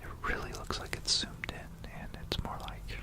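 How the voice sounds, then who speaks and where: An ASMR narrator from Florida with an American accent whispers a musing, explanatory history talk close to a stereo microphone. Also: moderate pace. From Florida, United States. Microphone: close stereo binaural microphone.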